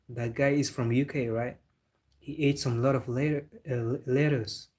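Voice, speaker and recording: A man's voice: mid-range voice, steady tone, unhurried, a little mellow. A young man hosting a football live stream, chatting casually with viewers in a loose, laid-back way. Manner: loose and chatty, calls viewers bro, talks with a laugh.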